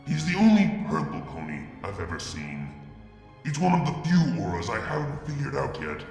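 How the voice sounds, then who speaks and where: A man reading a fanfic aloud in a soft, halting first-person narration. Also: mid-range voice, very animated, gravelly, reverberant, big-room sound, soft background music. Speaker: fanfic reader. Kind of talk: dramatic story reading.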